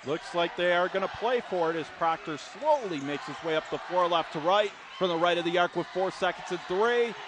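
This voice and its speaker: A man's voice: sits high, a little fast, hardly any pauses, noisy background. A man doing live radio play-by-play and commentary on a basketball game from an indoor arena.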